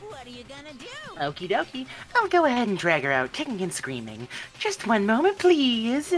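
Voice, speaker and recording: A mischievous little game mascot teases with sadistic, childish glee and fake politeness. The delivery is theatrical, leaping wildly up and down in pitch, over game music. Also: female voice, huge pitch swings, moderate pace. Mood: gleeful, teasing. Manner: teasing, theatrical. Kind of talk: video game voice acting.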